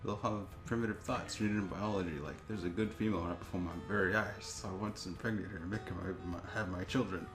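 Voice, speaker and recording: A low-pitched young man reads aloud in a casual, joking way. His voice rings in an echoey room, with guitar music clearly playing behind him. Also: fairly even intonation, fast, few pauses. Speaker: co-host reading wiki entries aloud. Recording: roomy echo with music behind.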